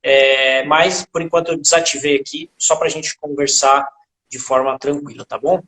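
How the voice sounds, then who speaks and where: A man hosts a live stream in a fairly high voice, keeping a friendly, chatty flow with frequent tag questions. The sound is roomy and echoey, coming through a video call. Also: steady tone, normal speed, pushed and bright. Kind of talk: casual live interview.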